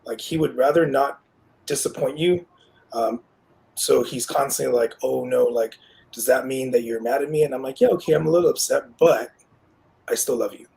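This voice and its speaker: A man's voice: mid-range voice, moderate pace, gravelly, poor audio. A man talking casually as a guest parent on a video call, fatherly and loose, with many "like" fillers. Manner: warm, fatherly, many "like" fillers, quotes his son. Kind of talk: casual panel conversation.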